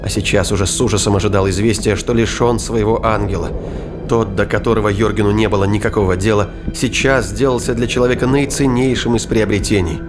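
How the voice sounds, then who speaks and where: Male narrator, low pitch, scripted dramatic storytelling, audio play, clear music backdrop. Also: steady tone, quick pace.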